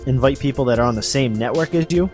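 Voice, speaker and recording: Male podcast host, mid-pitched, casual and explanatory, somewhat halting, music underneath. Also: a little mellow. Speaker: podcast host reading update news. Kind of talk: casual explanatory podcast talk about game updates.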